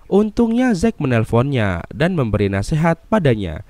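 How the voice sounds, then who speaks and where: Indonesian male recap narrator: congested nasal voice, animated pitch, mellow tone, casual slang. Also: normal speed, a little hiss or hum. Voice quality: nasal, stuffy-nosed. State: unwell, congested. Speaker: host and narrator of film recap videos.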